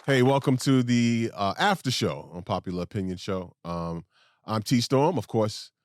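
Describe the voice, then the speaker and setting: A man's voice: lowish voice, lively intonation, measured pace, no echo, studio quality, a faint crowd. A man co-hosting a podcast, chatting casually and rambling off on tangents. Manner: rambling, digressive storytelling. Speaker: podcast co-host.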